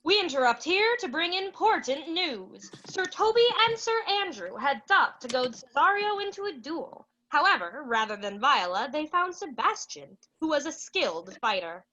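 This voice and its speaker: Casting: young woman as a high, lively radio bulletin narrator, dramatic vintage newsreader style, lo-fi sound. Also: moderate pace, full character voice. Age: young adult. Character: brisk, dramatic radio news announcer. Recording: dull lo-fi sound.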